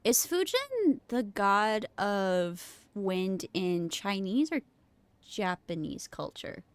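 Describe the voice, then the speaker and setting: A woman who is a little sick with a cold, chatting casually as a game streamer, rambling, restarting her sentences and easily amused. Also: medium pitch. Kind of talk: casual live game stream commentary, reading dialogue aloud. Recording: game music behind the voice. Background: game music.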